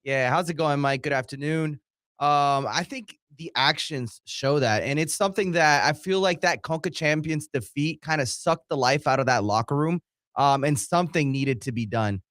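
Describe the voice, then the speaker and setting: A man's voice: fairly high pitch, normal speed, a bit bright, no room sound, studio quality. A man co-hosting a soccer talk show, offering analysis in a conversational way. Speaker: co-host and producer.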